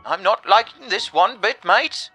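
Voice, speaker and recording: A man's voice: high-pitched, animated, unhurried, pushed and bright, soft background music, pitched above the performer's own voice, exaggerated voice. A man voicing a brash, cheeky talking gramophone with a blustering, matey manner in an audio story. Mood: wary.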